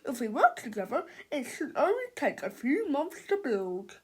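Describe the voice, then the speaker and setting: A woman's voice: high-pitched, sing-song, moderate pace, breathy edge, full character voice. A woman voicing a smug, condescending scientist father in a children's storybook, scoffing and patronising. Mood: earnest.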